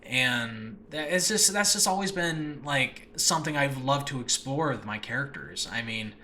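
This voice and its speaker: Bright, enthusiastic man rambling casually. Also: medium pitch, lively intonation, normal speed. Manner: enthusiastic, rambling, self-interrupting. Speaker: podcast host, writer and voice actor of audio dramas. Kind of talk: casual unscripted solo podcast talk. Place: room in a new home, no regular recording space. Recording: faint background noise, makeshift home recording space.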